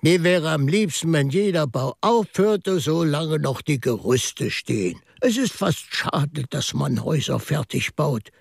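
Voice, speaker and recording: Gentle, reflective priest for radio drama: lightly high voice, wistful, creaky, close dry sound. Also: male voice, animated, dry recording, exaggerated voice. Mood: wistful, musing. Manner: wistful, reflective, deliberate musing. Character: gentle, musing priest who mourns finished buildings.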